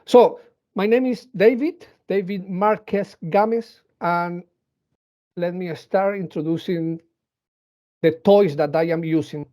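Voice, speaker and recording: Slow, hesitant man with Latin American Spanish accent. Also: high-pitched, warm tone. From Venezuela and Colombia. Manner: hesitant, many fillers and restarts. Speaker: robotics engineer addressing school pupils. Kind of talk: educational talk.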